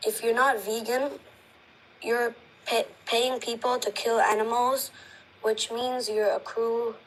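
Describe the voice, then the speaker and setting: A female caller with a fairly high voice talks casually on a live stream. Her replies are brief and halting, with faint noise on the call. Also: level tone, poor audio. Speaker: livestream caller. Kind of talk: casual live chat, short answers.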